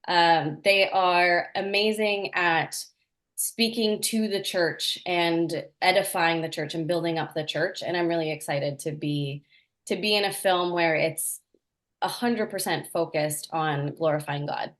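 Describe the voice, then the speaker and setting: A woman's voice: lowish voice, measured pace, a bit bright. A woman from Norway, an actress, answering interview questions in an earnest, enthusiastic way with small laughs. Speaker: actress, guest.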